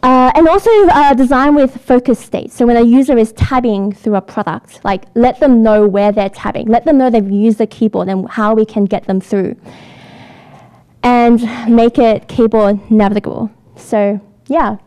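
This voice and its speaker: A woman's voice: a little high, steady tone, moderate pace, a little mellow. A woman giving a casual, upbeat conference talk, with lots of fillers. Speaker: software engineer giving a talk. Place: event hall with an audience.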